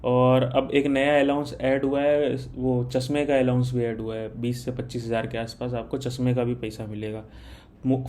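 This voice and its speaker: A man's voice: mid-range voice, warm tone, a little hiss or hum. A man giving an informal, instructional explainer and mixing in many English terms. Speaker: exam guidance content creator. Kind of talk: informal explainer of recruitment details.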